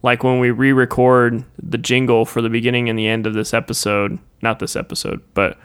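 A man's voice: mid-range voice, flat delivery, brisk, fairly bright tone, clean tone. A man co-hosting a casual podcast, laid-back and agreeable, filling his talk with "like" and "yeah". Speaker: podcast co-host, musician. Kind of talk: casual chat.

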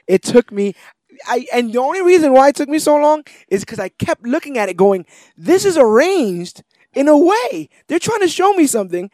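A man co-hosting a podcast, chatting casually, telling things in an animated way and speaking straight to listeners. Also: very high-pitched, normal speed, dry recording. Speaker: podcast co-host. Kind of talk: casual chat, comic book recap.

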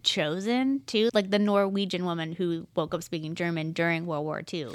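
A woman's voice: on the low side, steady tone, moderate pace, dry recording. A woman co-hosting a casual podcast chat, musing aloud and using "like" often. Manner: chatty, muses aloud with many "like"s. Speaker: podcast co-host.

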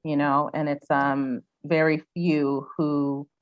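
A woman's voice: low-pitched, little pitch movement, slow, no echo, lo-fi sound. A young woman raised in the United States, speaking reflectively as a guest in a casual interview, with many "um"s and "you know"s.

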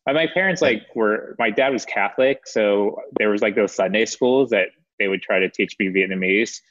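Male, American-born, lowish voice, hesitant and reflective casual storyteller, slightly muffled lo-fi audio. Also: moderate pace, fairly bright tone, dry recording. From United States. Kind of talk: casual chat, personal storytelling. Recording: dull, band-limited audio.

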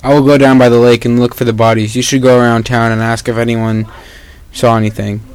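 A man's voice: medium pitch, level tone, brisk, a little mellow, clean tone, dry recording, lightly acted. A man playing an earnest, sensible, matter-of-fact police officer in an amateur old-time radio drama. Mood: businesslike.

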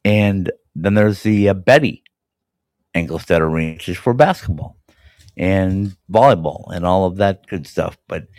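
A man hosting a college hockey podcast, hoarse and raspy from a cold, talking enthusiastically and rambling with fillers and restarts. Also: low voice, normal speed, a little mellow, dry recording. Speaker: podcast host. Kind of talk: casual sports chat.

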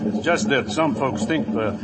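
A man's voice: medium pitch, animated, unhurried, a loud crowd, lightly acted. An actor in a 1950s radio Western playing a folksy, bossy small-town lawman who talks to placate people. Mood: brisk, placating. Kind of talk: 1950s radio drama acting.

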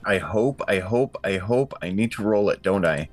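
A man with a fairly low voice plays a tabletop game over faint music. His talk is casual and playful, like chat among friends. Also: expressive, normal speed.

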